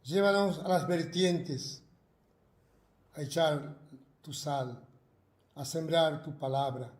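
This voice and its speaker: A man's voice: on the high side, takes it slowly, reverberant. A man from Argentina, a pastor, preaching and praying earnestly into a self-recorded video, repeating his phrases fervently. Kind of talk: recorded sermon and prayer.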